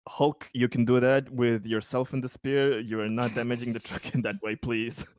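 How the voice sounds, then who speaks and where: A man chatting casually as a player in a tabletop roleplaying game, speaking in a halting, hesitant way. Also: mid-range voice, little pitch movement, darkish tone, a little hiss or hum. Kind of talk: casual, joking game chat.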